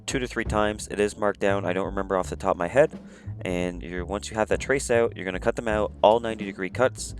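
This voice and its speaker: A sick man with a raspy, monotone voice giving step-by-step instructions in a voice-over for a craft tutorial. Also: lowish voice, slight background noise. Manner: plain step-by-step instructions, many "you're gonna". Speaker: cosplay prop maker, channel host. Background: faint music.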